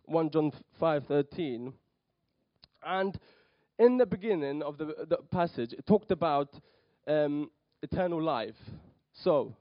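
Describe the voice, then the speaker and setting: A man's voice: fairly high pitch, no echo, lo-fi sound. A young man from Poland, brought up in London, giving a hesitant sermon in church with many ums and restarts. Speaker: lay preacher. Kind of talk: nervous church talk.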